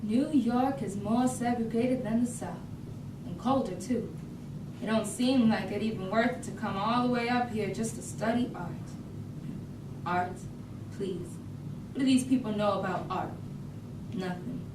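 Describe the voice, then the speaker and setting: A woman's voice: mid-range voice, a little slow, pauses often, recorded from a distance, lightly acted. Woman acting proud young art student, echoey room. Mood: indignant, scornful. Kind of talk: staged reading of dramatic monologue. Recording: echoey room from afar, noisy.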